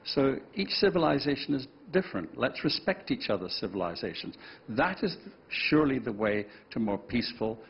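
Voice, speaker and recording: A man's voice: mid-range voice, very animated, a little fast, muffled recording, slight background noise. A male panel guest speaking emphatically after stumbling starts. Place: TV studio.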